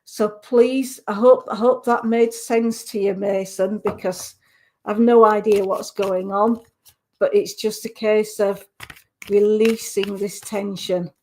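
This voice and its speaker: A woman with a Yorkshire accent giving a live psychic reading on the radio, gentle and reassuring, often restarting her sentences. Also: medium pitch, steady tone, slow, a little hiss or hum.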